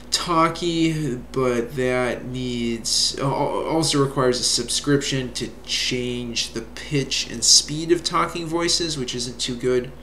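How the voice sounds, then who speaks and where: A middle-aged man casually reviewing a browser add-on, with a relaxed manner and lots of fillers. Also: mid-range voice. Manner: relaxed, many fillers like "you know". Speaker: reviewer demonstrating software. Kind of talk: casual screen-recorded review. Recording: echoey room with faint noise.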